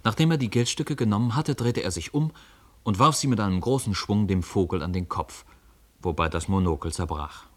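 A man's voice: lowish voice, fairly quick. A man narrating a story for a radio play in scripted storytelling style.

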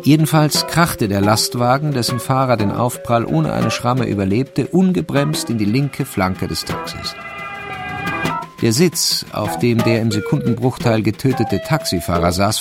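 A man narrating a radio crime drama in a measured, sober, literary way. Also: mid-range voice, creaky. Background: music.

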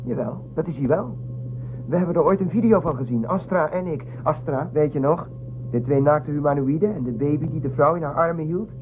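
A man's voice: a little high, expressive, a little fast, close to an everyday voice. An actor playing an earnest, insistent young spaceship crewman in a science-fiction audio drama. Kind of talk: science-fiction radio play acting. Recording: tinny narrow band, clear hum and noise.

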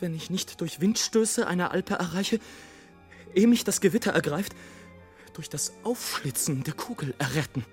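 Slow, rapturous balloonist over music. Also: male voice, high-pitched. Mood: uneasy, then agitated, awed. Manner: declamatory, poetic, swinging between hushed and impassioned. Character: lone male balloonist, rapturous, wrathful, death-defying romantic. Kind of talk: literary radio drama monologue. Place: studio radio production.

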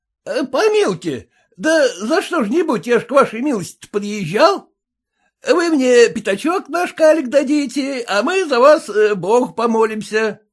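Guy putting on a drunk rude peasant, hollering, high and raspy. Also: animated, unhurried, heavily acted. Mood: drunken abuse. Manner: shouting, jeering, drunken. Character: drunk red-faced peasant man, rude and abusive.

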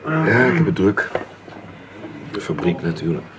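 An actor playing an earnest, reflective middle-aged aristocratic father who speaks in a measured, confiding, halting way in a Dutch radio drama. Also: low-pitched, sing-song, a crowd in the background.

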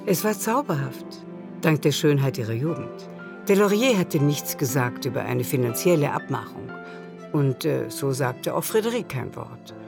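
A woman's voice: very deep voice, lively intonation, moderate pace, creaky, music in the background. A woman narrating a literary radio play in a scripted, storytelling style.

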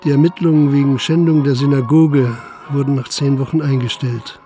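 An actor playing a principled, dogged Prussian police commissioner in a German radio drama, formal and articulate. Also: male voice, medium pitch, steady tone, moderate pace, mellow, faint music behind, natural voice. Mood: sober, resigned. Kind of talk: German radio drama acting, dialogue and first-person narration.

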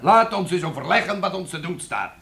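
An actor playing an earnest guild master addressing a meeting in a radio play, formal and measured. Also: male voice, high voice, very animated, a crowd in the background.

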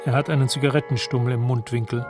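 An actor playing a detached narrator in a radio drama, describing scenes in a sober, measured way. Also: male voice, mid-range voice, flat delivery, music in the background, natural voice.

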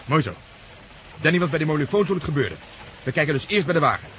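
An actor playing a businesslike police inspector who gives clipped, practical orders in a Dutch radio play. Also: male voice, a little high, lively intonation, fast talker, fairly bright tone. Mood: commanding. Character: Connors, businesslike male police inspector taking command. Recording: clear background noise of vehicle ambience.

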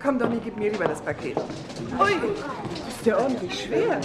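An actress playing a polite, caring mother who gently urges her small child along, in a radio drama. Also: a little high, big pitch swings, moderate pace, very noisy.

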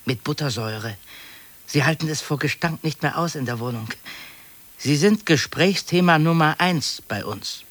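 An actor in a 1950s radio play, playing a fussy, anxious middle-aged office clerk who talks in a confiding, hesitant, gently pleading way. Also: male voice, fairly high pitch, expressive, moderate pace. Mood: hesitant, earnest.

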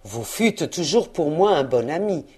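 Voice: medium pitch, sing-song, unhurried, darkish tone, slightly rough. A narrator voicing a frightened, humbled old male miser who speaks with hesitant deference. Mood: uneasy. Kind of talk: audiobook character reading.

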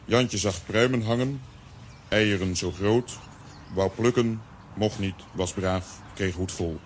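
A big, simple, childlike strongman in a children's radio play. He drops words in clipped phrases, in a lowish voice with faint hiss behind. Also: slow. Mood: simple, earnest. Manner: clipped telegram speech, dropping words.

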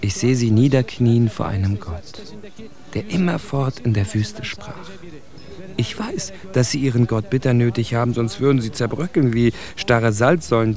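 A man's voice: medium pitch, darkish tone, lightly acted. A man playing a bitter, articulate immigrant in a literary radio drama monologue, with intense, rhetorical phrasing. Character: bitter, articulate immigrant man indicting his generation's plight. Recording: music bed under the voice.

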